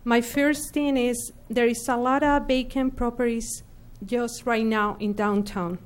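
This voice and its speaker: Woman, slightly high voice, formal petition spokesperson at a council podium. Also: fairly even intonation, unhurried. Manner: formal, addressing officials by name. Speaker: petition organizer addressing the council. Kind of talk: formal public comment. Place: council chamber.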